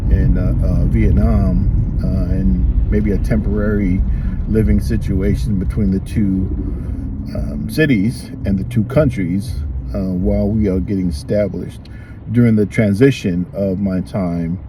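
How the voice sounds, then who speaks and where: Slow, rambling man in a noisy car. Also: sits low, steady tone, far from the mic. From New York. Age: early retirement age, 50s. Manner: unhurried, rambling, many ums and restarts. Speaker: vlogger. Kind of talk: casual vlog talk while driving. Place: inside a car, driving. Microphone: phone held at arm's length. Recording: phone mic held back, loud car and road noise. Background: car and road noise.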